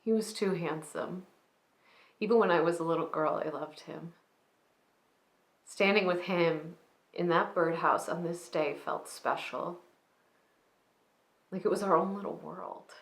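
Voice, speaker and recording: A woman performing a dramatic monologue as a wistful, grieving granddaughter, speaking softly with restraint and pauses between phrases. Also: fairly low pitch. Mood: intimate, confessional. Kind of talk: dramatic monologue, slow storytelling. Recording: roomy, echoing space.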